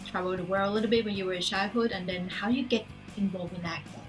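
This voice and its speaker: A woman's voice: mid-range voice, fairly even intonation, rough recording. Woman host, faint music behind. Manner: halting questions with fillers like "like" and "um". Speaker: interviewer, host. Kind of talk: casual interview questions.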